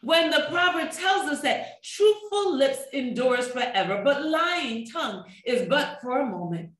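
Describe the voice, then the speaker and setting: A woman's voice: high-pitched, unhurried. A middle-aged woman pastor preaching a sermon with rhythmic repetition, recorded in a small room. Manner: expressive preaching with wide hand gestures, rhythmic repetition. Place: small room with a table, indoors. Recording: echoey room.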